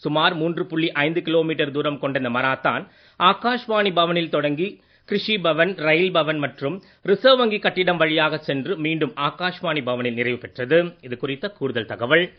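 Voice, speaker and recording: A man's voice: a little high, normal speed, highs cut off. A man with an Indian Tamil accent reading a formal, scripted radio news bulletin as the newsreader.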